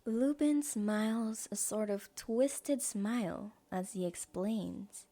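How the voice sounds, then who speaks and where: A woman's voice: medium pitch, takes it slowly, warm tone. A woman reading scripted story narration as the narrator of an audio drama.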